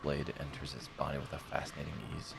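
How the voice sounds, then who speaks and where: A man's voice: very deep voice, completely flat delivery, fast talker, gravelly, very noisy. A man streaming a visual novel, reading its text aloud dramatically and breaking off for blunt, slangy, casual reactions. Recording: loud music behind the voice. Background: game music.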